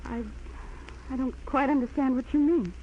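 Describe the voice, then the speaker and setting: An actress playing a frank, coaxing young fiancée with an American accent in a 1940s radio drama. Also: female voice, sits high, fairly even intonation, moderate pace, close to an everyday voice. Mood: frank, loving. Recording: dull, noisy old 1940s radio recording.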